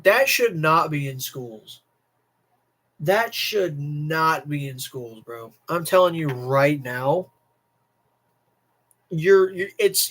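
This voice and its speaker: A man's voice: a little high, poor audio. A young American man ranting casually and emphatically as a livestream host, repeating himself and swearing. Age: young adult. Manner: emphatic, repetitive, profane. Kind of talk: casual livestream rant to chat.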